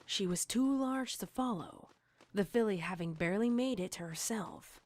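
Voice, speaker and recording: A woman's voice: medium pitch, lively intonation, normal speed. A woman reading scripted horror fiction as a storyteller. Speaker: narrator. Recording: music under the narration. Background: music.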